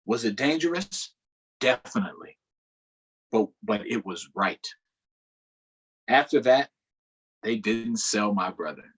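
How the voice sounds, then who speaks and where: A man with a slightly husky voice hosts a children's storytime, reading a picture book aloud. His delivery is expressive, with a lively pitch that rises and falls, and he pauses to ask the kids questions. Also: poor audio. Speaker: councilmember hosting a virtual storytime.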